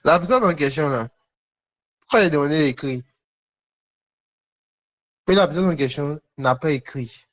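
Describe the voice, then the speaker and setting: A man preaching in a conversational, charismatic style to online viewers, repeating short phrases for emphasis with pauses between them. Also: fairly high pitch, animated. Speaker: preacher hosting a live online prophetic session. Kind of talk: charismatic sermon and prophetic teaching, conversational, addressing viewers. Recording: dull, lo-fi livestream audio.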